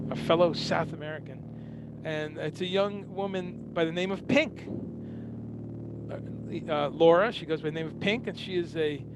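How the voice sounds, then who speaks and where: Host, 50s man from Guyana, slightly high voice, loose and rambling, street hum audible behind. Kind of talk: casual talk-show intro monologue.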